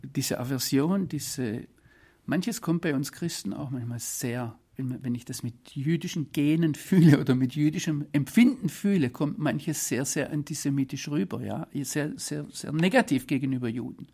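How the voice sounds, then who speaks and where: A man from Swabia, giving earnest, deliberate interview answers. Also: fairly high pitch, warm tone. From Swabia, Germany. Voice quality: husky. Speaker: interview guest.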